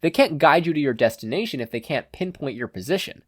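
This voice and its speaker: A narrator voicing a calm, soothing male spirit mentor in an audiobook. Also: mid-range voice, fast, voice pushed up, close to an everyday voice.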